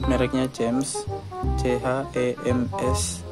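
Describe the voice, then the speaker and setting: A man hosting a casual motorcycle how-to vlog, explaining things slowly step by step. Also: mid-range voice. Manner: slow, flat step-by-step explaining. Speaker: motovlog host. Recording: music bed underneath.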